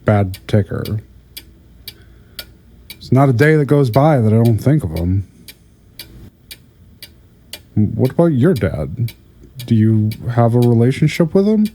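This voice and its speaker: A man playing a patient older therapist in an audio drama, hesitant and stammering as he gently probes. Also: mid-range voice, very animated, slow, pauses often, slight background noise. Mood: wistful. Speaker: prison therapist. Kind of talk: audio drama acting, conversational.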